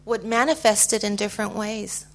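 A middle-aged woman with an American accent giving a personal testimony in short phrases, pausing for an interpreter. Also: medium pitch, steady tone. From United States. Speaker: guest speaker giving testimony.

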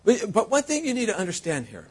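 A man preaching a sermon in short phrases, pausing after each one for an interpreter. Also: high-pitched, huge pitch swings, a little mellow, breathy edge. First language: English. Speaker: guest preacher.

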